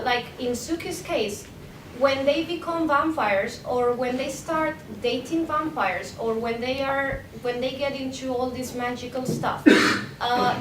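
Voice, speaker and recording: A young woman academic, answering questions at a conference in an informal way, explaining with examples. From Spain. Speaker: academic presenter, PhD candidate. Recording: roomy, distant mic, noisy rumbling background.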